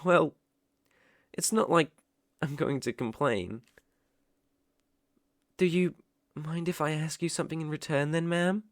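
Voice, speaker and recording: A man's voice: fairly high pitch, measured pace, long pauses, no room sound, studio quality, natural voice. A man with a British accent playing a devoted, proper butler in an intimate ASMR romance roleplay, polite, deferential and hesitant. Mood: tender, adoring. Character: young male butler, devoted, proper, flustered by love.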